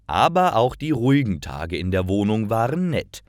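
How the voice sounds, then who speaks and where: A man reading simple stories aloud in a clear, careful narration for language learners. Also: lowish voice, very animated, normal speed, no echo, studio quality. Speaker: storyteller for German learners. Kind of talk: scripted slow narration.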